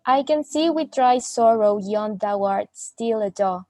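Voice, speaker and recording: A woman's voice: medium pitch, measured pace, warm tone, no room sound, lightly acted. Woman acting a solemn, formal young woman, dry recording. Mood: sorrowful reproach. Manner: formal, archaic, declamatory. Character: Samantha, solemn wounded young woman speaking archaic English. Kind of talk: amateur radio play acting.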